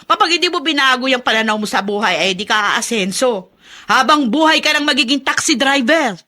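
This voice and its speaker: An actress playing an exasperated, scornful, money-minded wife who scolds in a radio drama. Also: female voice, high-pitched, animated, fairly quick, sharp tone. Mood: scolding.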